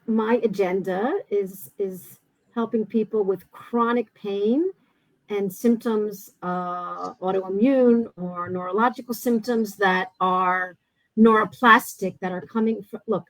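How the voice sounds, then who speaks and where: A woman's voice: medium pitch, slow pace, no room sound, low-quality recording. A woman hosting a video call chat, speaking earnestly and emphatically, often restarting her sentences. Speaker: host. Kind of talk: casual chat.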